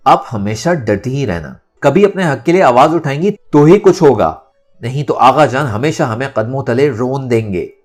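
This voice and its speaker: A man's voice: medium pitch, animated, normal speed, soft background music, pitched above the performer's own voice, natural voice. A man voicing a defiant, outspoken young woman in an audio novel. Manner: bold, firm, outspoken. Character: defiant young woman standing up to family patriarch.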